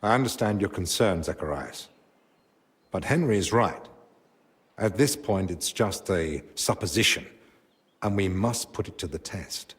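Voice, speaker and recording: A low-voiced, learned medieval physician. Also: male voice, lively intonation. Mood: reasoning, urging. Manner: measured, diplomatic, educated. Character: learned, reasonable male royal physician in medieval Bohemia. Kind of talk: dramatic video game cutscene acting.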